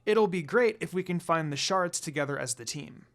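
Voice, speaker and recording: A kind young priestess, played by a narrator, talks with lively, swinging pitch. She is an earnest, outspoken woman, easily flustered, in a fantasy tale. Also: normal speed, natural voice. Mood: earnest, explaining.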